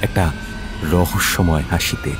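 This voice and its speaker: A man's voice: sits low, unhurried. A man narrating a suspense story in a dramatic, scripted style. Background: music.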